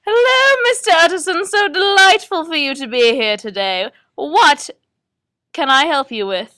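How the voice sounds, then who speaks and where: Radio play psychic female actor: very high, effusive, grand, theatrical. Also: animated, measured pace, bright, forceful tone, dry recording, exaggerated voice. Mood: gushing.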